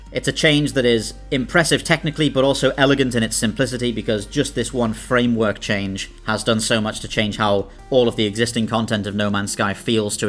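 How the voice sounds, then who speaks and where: A man's voice: on the low side, a bit bright. A British man hosting a video, delivering scripted essay-style narration. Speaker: video host. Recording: music under the voice. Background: background music.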